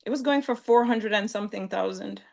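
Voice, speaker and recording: A woman's voice: mid-range voice, a little fast, vocal fry, darkish tone. A woman realtor on a webinar panel, explaining in an easy, conversational way over a video call.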